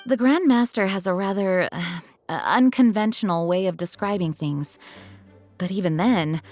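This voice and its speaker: A woman's voice: fairly low pitch, a little mellow, lightly acted. A woman playing a composed knight commander. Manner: formal, measured, courteous.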